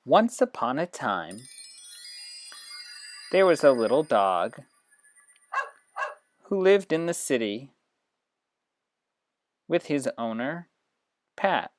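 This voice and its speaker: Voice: mid-range voice, very animated, slow, pauses often, no echo, faint music behind. An educator giving an informal, explanatory demonstration with read-aloud passages. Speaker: educator demonstrating reading apps. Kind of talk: instructional screen demo with read-aloud passages.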